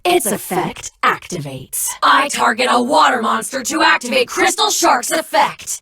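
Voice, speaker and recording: A woman's voice: on the high side, vocal fry, pushed and bright, breathy edge, full character voice. A woman voicing a fierce, icy, vengeful warrior woman in an anime card game, with theatrical, commanding delivery. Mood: determined, declamatory. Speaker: video game character voice.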